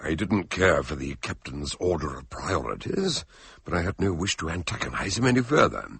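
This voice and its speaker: An actor playing a dignified, wordy gentleman investigator recounting his adventure in a radio drama, formal and donnish. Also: rumbling low, big pitch swings, normal speed, vocal fry, breathy edge, dry recording, exaggerated voice. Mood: wry recounting. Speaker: investigator and narrator. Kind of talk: radio drama acting with storytelling narration.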